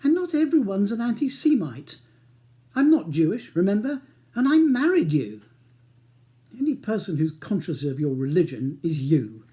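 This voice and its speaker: A man reading a novel, voicing an exasperated, sharp-tongued upper-class English wife with a clipped, argumentative manner. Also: high-pitched, big pitch swings, normal speed, pitched above the performer's own voice. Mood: angry, exasperated.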